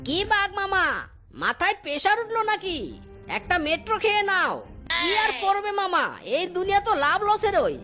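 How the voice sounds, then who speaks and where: Very squeaky, cunning cartoon fox. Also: expressive, normal speed, pushed and bright, heavily acted. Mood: taunting. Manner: gleeful, taunting, cackling. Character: cunning, cheeky male fox trickster. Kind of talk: exaggerated cartoon dialogue. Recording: music behind the voice.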